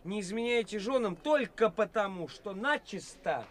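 An actor playing an ambitious, theatrical young stage actor in a 1980s radio play. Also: male voice, high voice, moderate pace, a little hiss or hum. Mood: scornful, declamatory. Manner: theatrical, expressive.

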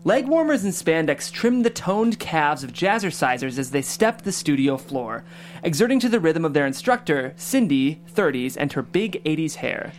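Even-voiced narrator reading stage directions. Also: medium pitch, moderate pace, a little hiss or hum. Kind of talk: table read narration.

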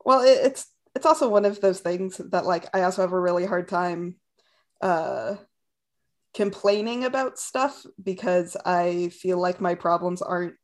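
A woman's voice: fairly low pitch, a little slow. A woman in casual podcast chat who talks hesitantly and makes fun of herself, with many "um"s. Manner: self-deprecating, hesitant, frequent fillers.

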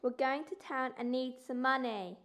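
A woman's voice: sits high, moderate pace, heavy background noise, close to an everyday voice. A female performer playing a bossy, threatening teenage bully in a wartime orphanage drama, domineering and sneering.

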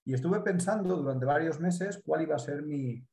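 A man's voice: a little high, normal speed, darkish tone. Spanish man explaining in a roomy echo. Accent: Spanish from Spain. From Spain, Mediterranean coast. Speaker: business consultant guest. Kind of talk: live interview.

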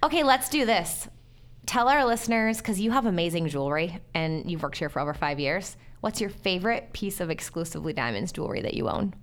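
Chatty woman podcast host, slightly low voice. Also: animated, brisk. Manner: chatty, encouraging. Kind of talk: casual conversational interview.

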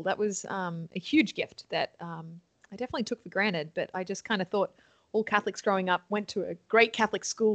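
A woman's voice: medium pitch, dry recording. A young adult woman from Sydney with an Australian accent, a nun guest chatting cheerfully in an interview, laughing often and saying um a lot. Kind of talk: casual interview chat.

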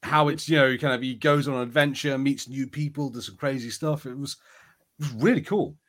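A man's voice: mid-range voice, fairly quick, a bit bright. A man co-hosting a podcast, chatting casually about films with an enthusiastic, conversational way of telling things. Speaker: podcast co-host. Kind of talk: casual review chat.

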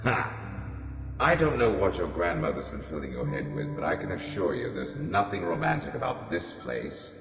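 A man playing a gruff, forbidding house caretaker in an audio drama, with a gravelly, ominous, theatrical delivery. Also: low-pitched, big pitch swings, normal speed, music in the background, full character voice. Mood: irritated, scornful. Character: gruff, forbidding old-house caretaker, grudgingly willing storyteller. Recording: echoey hall with long reverb.

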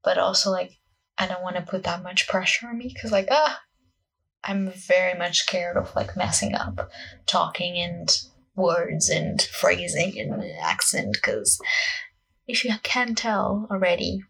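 Young Portuguese woman with a creaky, husky voice. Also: on the low side, measured pace, fairly bright tone, low-quality recording. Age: young adult, about 20. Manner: self-conscious, scattered, peppered with "like". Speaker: podcast host, acting student. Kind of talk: casual, rambling solo podcast chat.

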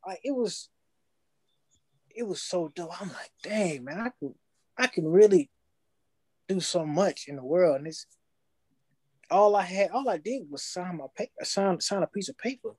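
A young man, telling his story in casual podcast chat, slangy and animated, acting out his past thoughts. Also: high-pitched, measured pace, long pauses, husky, no echo.

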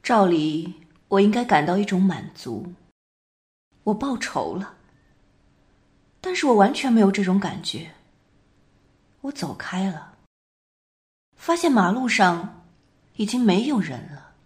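A woman plays a haunted dreamer in an amateur radio drama. She speaks slowly and gravely, with a hushed, dramatic delivery and a widely moving pitch. The telling feels detached, as if from inside a dream. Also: very animated. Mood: numb, reflective.

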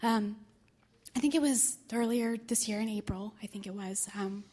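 A woman who has lost her voice, speaking hoarsely to her church congregation in a soft, searching way. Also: mid-range voice, moderate pace. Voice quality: raspy. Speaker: church member sharing reflections. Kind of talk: reflective talk to a congregation.

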